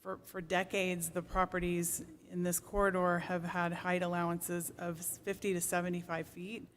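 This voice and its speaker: A planning staff member speaks at a public meeting in a measured, explanatory manner. The pitch is medium and stays quite flat. There is faint room noise around the voice. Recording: noisy meeting room ambience.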